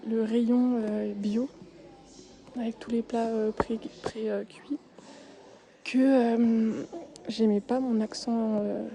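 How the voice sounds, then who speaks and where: A young woman speaking softly and often whispering while tidying shelves in a supermarket aisle, with frequent hesitations. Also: on the high side, little pitch movement, slow, vocal fry, long pauses, rough recording. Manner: soft-spoken, drifting into whispers, many hesitations. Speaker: ASMR creator. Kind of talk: soft-spoken ASMR while tidying shelves. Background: faint store ambience.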